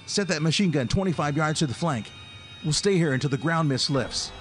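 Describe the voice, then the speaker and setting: A man as an animated, commanding spaceship captain. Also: on the high side, a little fast, over music, lightly acted. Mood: commanding, urgent. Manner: commanding, urgent. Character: stern, agitated male spaceship captain. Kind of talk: radio drama acting.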